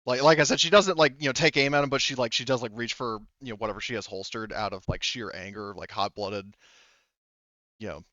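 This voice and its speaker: A man narrating a tabletop roleplaying game casually as game master, in a halting, conversational way with repeated words. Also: mid-range voice, fairly even intonation, sharp tone, no room sound, dull audio.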